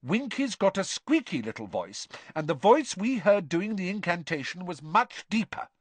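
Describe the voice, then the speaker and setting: A man's voice: sits high, very animated, moderate pace, dry recording, voice pushed up. A man voicing a nervous but determined teenage girl in a storybook reading, earnest and insistent. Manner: insistent, appealing.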